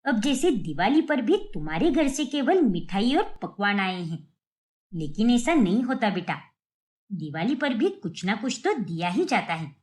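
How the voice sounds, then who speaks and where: Woman voicing a nagging sarcastic middle-aged mother, very lively. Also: medium pitch, unhurried. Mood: taunting. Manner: taunting, grumbling.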